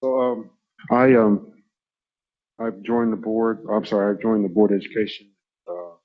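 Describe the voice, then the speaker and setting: A man, a school safety director, speaking softly and calmly in a presentation to a board. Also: fairly low pitch, normal speed, lo-fi sound. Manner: soft-spoken and calm.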